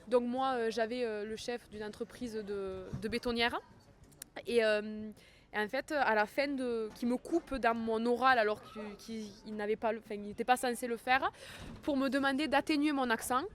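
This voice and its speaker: A young woman from Ariège with a southern French accent, answering interview questions hesitantly with many fillers and restarts. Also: on the high side. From Ariège, France.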